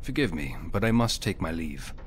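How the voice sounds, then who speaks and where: An actor playing a calm, courteous male hero of a fantasy video game, direct and composed. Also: low voice, moderate pace, slight background noise. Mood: polite. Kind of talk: voiced video game protagonist.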